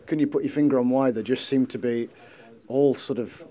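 A man's voice: mid-range voice, fairly even intonation, a little fast, warm tone. A male interviewer putting direct, probing post-match questions to a football manager.

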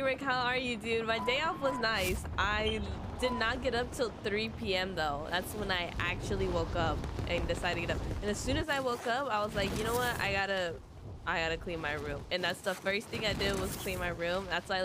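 A young woman with a hoarse, worn voice talks casually into a headset mic, greeting viewers by name as she plays. Loud gunfire and game sounds crowd the background. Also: medium pitch, fairly quick, very noisy. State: hoarse, losing her voice. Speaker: streamer. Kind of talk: casual game stream chat. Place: bedroom.

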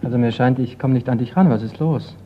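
An actor playing a persistent, probing insurance investigator in a radio crime drama. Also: male voice, mid-range voice, fast talker, lightly acted. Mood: calm, probing. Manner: probing, insistent. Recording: dull, noisy radio play recording.

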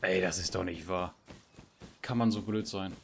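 A man's voice: low voice, normal speed, vocal fry, fairly bright tone, a little hiss or hum. A man chatting casually while playing a survival game, teasing himself and his teammate with frequent tag questions. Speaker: gamer streamer. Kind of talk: casual gameplay chat.

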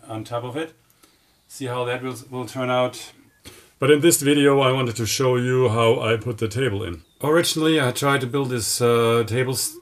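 A man in his forties, a native German speaker, talking casually to camera inside a truck cab, explaining his build with many ums and uhs. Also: medium pitch. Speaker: vlogger building a camper. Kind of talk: casual DIY update to camera.

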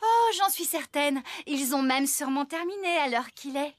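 An actress voicing a cheerful, kindly but bossy young woman farmer in a French children's cartoon, brisk and expressive. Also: sits high. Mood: friendly.

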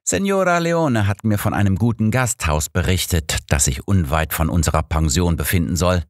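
A man's voice: low-pitched, expressive, normal speed, no room sound, studio quality. A man voicing an old master detective in an audiobook, composed, inquisitive and matter-of-fact. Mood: brisk, courteous.

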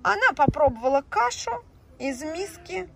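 A woman telling a children's fairy tale in a slow, expressive, sing-song way. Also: extremely high pitch, creaky. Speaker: storyteller. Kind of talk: children's story narration with paper puppets. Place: indoors, tabletop on green felt.

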